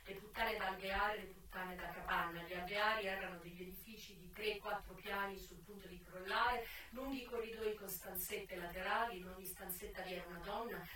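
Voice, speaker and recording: An actress playing a proud, worldly American brothel madam, delivering a dramatic, emphatic theatre monologue with deliberate pauses. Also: female voice, mid-range voice, bright, forceful tone, a little hiss or hum, close to an everyday voice. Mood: matter-of-fact. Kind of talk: theatrical first-person monologue. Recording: echoey stage sound, distant mic, music behind.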